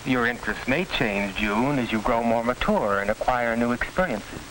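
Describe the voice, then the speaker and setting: An American actor playing a reassuring school counselor in a 1940s instructional film, with formal, deliberate, didactic delivery. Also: male voice, medium pitch, lively intonation, a bit bright, close to an everyday voice. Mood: measured, reassuring. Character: measured, reassuring male school vocational counselor. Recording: noisy, hissy 1940s film soundtrack.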